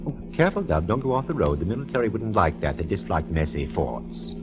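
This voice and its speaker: A man's voice: low-pitched, very animated, fairly quick. An actor playing a lady detective's arch, fussy male sidekick, theatrical and dryly witty, in 1940s radio style. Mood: fussy, puzzled. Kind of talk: 1940s radio comedy-mystery acting. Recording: dull vintage radio recording, loud music.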